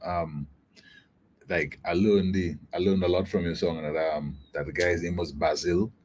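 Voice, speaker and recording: A man with a very deep voice, a soca artist chatting casually as a guest, relaxed and slangy with halting restarts. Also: slight background noise. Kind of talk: casual interview chat.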